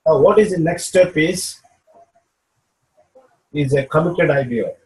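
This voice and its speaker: A man's voice: on the high side, a little slow, darkish tone, rough recording. A man coaching someone over a video call, explaining steps patiently and checking in with "okay?" after each point. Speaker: business mentor.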